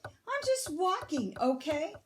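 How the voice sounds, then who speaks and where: A sullen, irritable storybook bird, acted out by a woman. The words come slowly and curtly, with exasperated snaps. The pitch moves around a lot. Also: big pitch swings, a little hiss or hum, heavily acted. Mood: exasperated shouting. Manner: curt, snappish, exasperated. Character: grumpy male bird, irritable and sullen, picture-book character.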